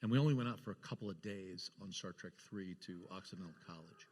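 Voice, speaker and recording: Low-voiced man reminiscing in echoey hall. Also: quick pace, a little mellow. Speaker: panel guest, film producer. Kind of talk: casual recollection on a live panel. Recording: echoey hall, live panel sound.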